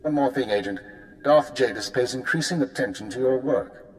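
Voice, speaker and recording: An actor playing a composed intelligence handler giving a mission briefing in a video game, formal and clipped. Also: male voice, mid-range voice, moderate pace, a little hiss or hum, lightly acted, poor audio. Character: cool, precise male intelligence handler.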